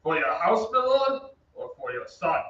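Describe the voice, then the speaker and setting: A man playing a challenging gentleman knight in old-time radio acting, with a declamatory, demanding manner. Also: a little high, sing-song, normal speed. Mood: scornful. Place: theatre stage. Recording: echoey stage, distant mic, dull, faint noise.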